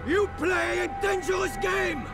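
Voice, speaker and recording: A threatening knight commander from a video game, hurls declamatory shouts. The voice is very high and heavily gravelly, with loud music behind it. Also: male voice, a little slow, heavily acted. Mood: angry, threatening. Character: belligerent male enemy knight commander besieging a fortress. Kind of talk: dramatic video game villain acting.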